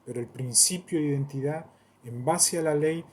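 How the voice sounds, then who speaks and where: A man's voice: a little high, normal speed, slight background noise. A man giving a long, rambling monologue in a solemn, declaiming way, repeating phrases for emphasis. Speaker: self-styled theologian.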